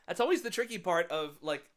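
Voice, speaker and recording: A musician chatting casually between songs, rambling through quick asides with little laughs. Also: mid-range voice. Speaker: musician performing a live set.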